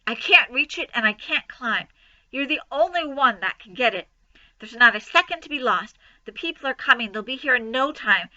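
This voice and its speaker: A woman's voice: animated, moderate pace, no room sound, highs cut off. A woman doing a frantic young pig. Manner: high, loud and urgent, cries and pleads. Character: excitable young male pig, anxious and pleading.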